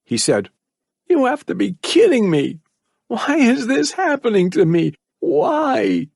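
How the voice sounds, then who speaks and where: A man voicing a frightened teenage boy trapped in a cage, high and tearful with a cracking voice. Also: lively intonation, moderate pace, a little mellow, dry recording, studio quality. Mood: frustrated.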